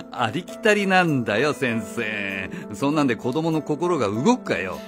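A man's voice: mid-range voice, big pitch swings, a little slow, a bit bright, faint music behind. A man playing a blunt, cynical needler in a radio drama, arguing with sarcasm. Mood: mocking.

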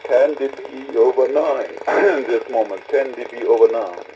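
A man's voice: medium pitch, takes it slowly, darkish tone. A man on CB radio, giving signal reports and short replies as an operator. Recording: dull lo-fi radio, faint static.

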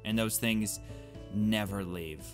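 A man's voice: lowish voice, slow pace. A man voicing a flippant, evasive teenage schoolgirl from a visual novel, with breezy, exasperated teen talk. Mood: dismissive. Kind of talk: visual novel character read aloud. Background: game music.

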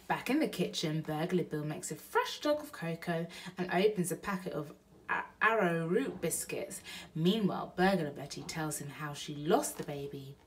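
A woman's voice: low-pitched, lively intonation, moderate pace, slight background noise. A young woman reading a children's story aloud at home as a storyteller. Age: young adult. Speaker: storyteller mother.

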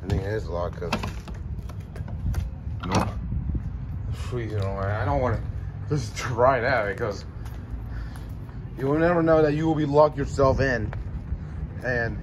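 A young man vlogging outdoors on a handheld phone, giving a halting, mumbly walkthrough of an abandoned building. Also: mid-range voice. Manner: mumbly, halting, talks to himself. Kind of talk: rambling handheld walkthrough commentary. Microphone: handheld phone camera. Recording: loud traffic and outdoor noise.

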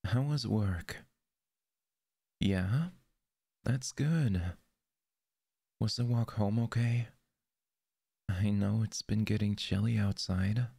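A man playing a possessive, jealous lover in an audio roleplay. He speaks softly and intimately into a close microphone, often in a whisper. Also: fairly low pitch, takes it slowly, gravelly, pauses often, husky, no echo, full character voice. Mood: tender.

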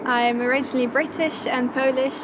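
Young woman, grew up in luxembourg, high even voice, noisy outdoors. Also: a little fast. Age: 20s. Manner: articulate, composed. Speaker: master's student. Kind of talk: on-camera interview answer. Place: outdoor campus garden.

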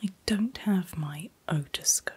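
A middle-aged woman speaking in a gentle, breathy whisper in short soft phrases, as a doctor in an ASMR roleplay. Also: lowish voice, a little slow. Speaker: ASMR performer playing a doctor.

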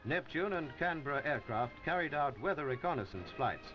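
A man narrating a 1950s newsreel in a formal, measured announcer's delivery. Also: medium pitch, animated, over music. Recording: dull old newsreel film soundtrack.

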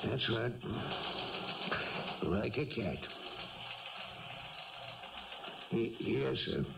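An American actor playing a weary, matter-of-fact police lieutenant in a 1970s radio drama, clipped and businesslike. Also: male voice, mid-range voice, lively intonation, slow pace, creaky. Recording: dull, noisy 1970s radio recording.

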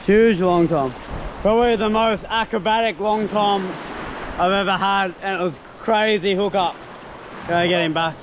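A man's voice: high-pitched, normal speed. A young man filming himself fishing from a tropical beach, exclaiming and laughing as fish bite, recorded on a head-mounted action camera. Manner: excitable, exclaiming, laughing often. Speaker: fishing vlogger. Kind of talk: excited fishing commentary. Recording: wind noise on outdoor camera mic.

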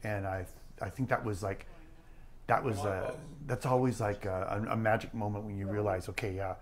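A man speaking as a film writer in a reflective interview, halting as he searches for words. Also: fairly low pitch, fairly even intonation. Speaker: screenwriter.

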